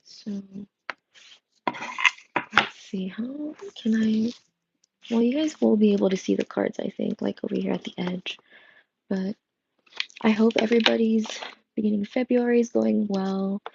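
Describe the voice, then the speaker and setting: Young woman tarot reader, worn voice, unhurried rambling chat, gravelly edge. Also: mid-range voice, lo-fi sound, background noise. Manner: rambling, many fillers and restarts. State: voice strained and worn. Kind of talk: casual livestream chat. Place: home room with coffee machine. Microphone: earbud headset mic.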